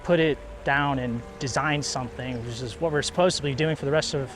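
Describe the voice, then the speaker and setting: A man's voice: medium pitch, brisk, background noise. A male student team member answering interview questions, plain-spoken and enthusiastic.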